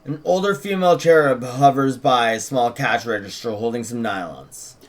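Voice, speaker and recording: A man's voice: medium pitch, moderate pace. A man co-hosting a script read, narrating stage directions and chatting casually about the writing. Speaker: co-writer and podcast host.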